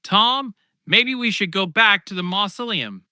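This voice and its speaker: A man's voice: high-pitched, animated, measured pace, pushed and bright, clear, resonant tone, no room sound, studio quality, voice pushed up, exaggerated voice. A man voicing a nervous, urgent teenage girl in a spooky audiobook story. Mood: uneasy. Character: nervous teenage girl exploring a haunted cemetery.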